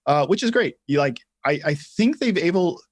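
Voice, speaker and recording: A man's voice: a little high, lively intonation, normal speed. A man co-hosting a tutorial livestream, explaining features and answering questions in a casual, conversational way.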